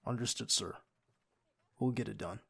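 A man's voice: on the low side, moderate pace, creaky, fairly bright tone, slightly rough, full character voice. A man playing a young secret agent who leads his team and is a devoted boyfriend, in an audio roleplay drama. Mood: controlled, focused. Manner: clipped military radio commands.

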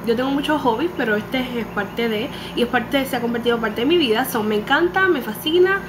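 A woman's voice: a little high, a little fast. A nineteen-year-old woman from Puerto Rico with a Puerto Rican accent, chatting animatedly to a webcam and dropping English words into her talk. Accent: Puerto Rican Spanish. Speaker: beauty vlogger. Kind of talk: casual chat to camera. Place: small room indoors. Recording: home webcam recording with background noise.

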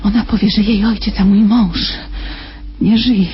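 An actress playing a desperate captive wife in a radio drama, imploring and halting as she pleads to be believed. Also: mid-range voice, steady tone, close to an everyday voice. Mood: desperate pleading. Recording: dull, noisy old radio drama recording.

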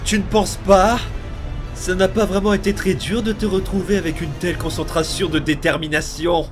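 A man's voice: high-pitched, fairly even intonation, normal speed, music in the background, lightly acted. A man playing a bitter, wounded demon boy in a dramatic comic dub, brooding and menacing. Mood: cold menace.

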